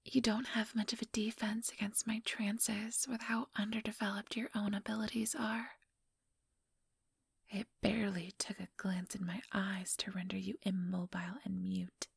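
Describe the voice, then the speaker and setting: A woman playing an ambitious vampire elder in a whispered ASMR roleplay, breathy, deliberate and patronizing, with cool menace. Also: mid-range voice, flat delivery, dry recording, studio quality. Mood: smug, gloating.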